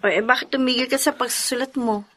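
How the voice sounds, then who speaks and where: Bright, high-voiced bubbly Filipino best friend. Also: female voice, steady tone, fast talker. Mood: concerned. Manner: exclamatory, teasing. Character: lively young woman, chatty caring best friend of bride. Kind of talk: Filipino radio drama acting.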